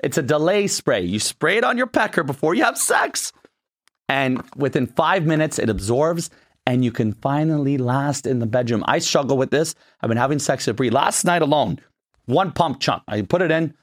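A man's voice: mid-range voice, lively intonation, moderate pace. A man hosting a podcast, joking crudely and reading an ad in casual chat. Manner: brash, joking, crude banter. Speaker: podcast host.

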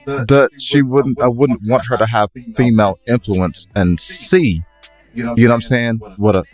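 A man's voice: medium pitch, measured pace, soft background music. A man co-hosting a casual podcast, debating in an insistent, bantering way. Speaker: podcast co-host.

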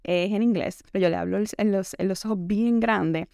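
A woman's voice: mid-range voice, a little fast, vocal fry, mellow, clean tone, no room sound, clean studio sound. A young adult woman hosting a podcast, talking through a reflective self-help monologue in a conversational way, leaning on the filler "entonces" and dropping in English words. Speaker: podcast host.

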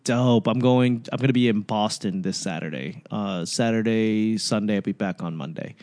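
A man's voice: on the low side, moderate pace, darkish tone. Profane joking male podcast host. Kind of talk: casual podcast chat.

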